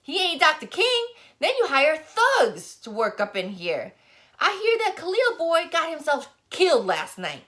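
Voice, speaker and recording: A cranky old barber played by a woman reading an audiobook, pitched high and swooping with indignation. The delivery is loud and scolding, with a bright, forceful edge to every gripe. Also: wildly swooping pitch, a little slow, full character voice. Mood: scornful. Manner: loud, griping, indignant exclamations. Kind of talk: audiobook character voice.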